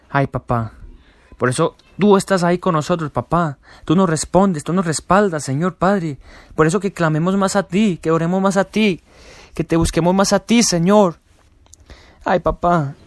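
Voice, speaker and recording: Guy praying hard, keeps going back to "papá", slightly high voice, dry sound. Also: no room sound. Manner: repeats "Señor Padre" and "papá" as refrains, dropping soft and low on them. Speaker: prayer leader. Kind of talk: fervent spoken prayer.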